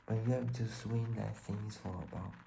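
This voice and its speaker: A man from Taiwan with a Taiwanese accent tells a personal story casually and haltingly, often searching for words. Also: on the low side, fairly even intonation, gravelly, background noise. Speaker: vlogger telling own life story.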